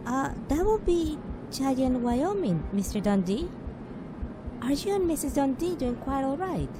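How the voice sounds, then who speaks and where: A woman's voice: high voice, big pitch swings, unhurried. A woman playing a polite, flustered young stewardess in a retro radio drama. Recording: clear aircraft cabin noise.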